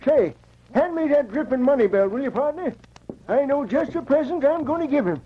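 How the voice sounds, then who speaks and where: An actor playing a brash gold prospector in an old radio adventure, talking in folksy frontier slang. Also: male voice, very high-pitched, expressive, brisk. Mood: grateful, hearty. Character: brash, grateful gold prospector just rescued from drowning. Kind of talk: vintage radio adventure acting. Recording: dull, noisy old radio recording.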